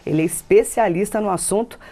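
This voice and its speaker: Brazilian lady hosting a tv interview, average pitch, chatty questions. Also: fast, dark tone. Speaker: TV interview host. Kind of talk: conversational interviewing.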